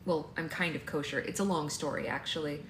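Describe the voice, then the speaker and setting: A woman's voice: sits low, fairly even intonation, fairly quick, natural voice. A woman voicing a tired, frustrated young female character in a story reading, curt and a little awkward. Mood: awkward, hesitant. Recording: roomy echo, faint background noise.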